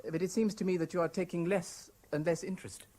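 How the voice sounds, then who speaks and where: An actor playing a polite, frank business partner in a 1970s radio drama, speaking formally and tactfully. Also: male voice, sits high, fairly even intonation, quick pace, dark tone, slight background noise, close to an everyday voice. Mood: tactful, reproachful.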